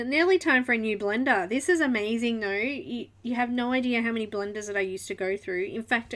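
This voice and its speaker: Australian woman, art-stream host, chatty and giggly, a touch high. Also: a bit bright, a little hiss or hum. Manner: chatty, giggly, thinking aloud while drawing. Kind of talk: live coloring tutorial chat.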